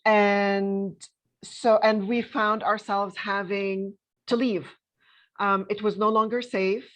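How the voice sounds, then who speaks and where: A woman in her fifties, born in Lebanon and raised speaking Arabic, guests on a podcast and recounts her past in an easy conversational tone. She talks at an even middle pitch, stopping often with ums and small restarts as she gathers her thoughts. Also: slow pace. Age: 50s. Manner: reflective, with frequent ums and self-corrections. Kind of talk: conversational interview, telling her life story.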